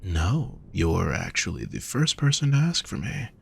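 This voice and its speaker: Casting: male performer as a gentle demon boyfriend for intimate audio roleplay; husky, unhurried, tenderly teasing. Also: low voice, big pitch swings, full character voice. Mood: gentle, curious.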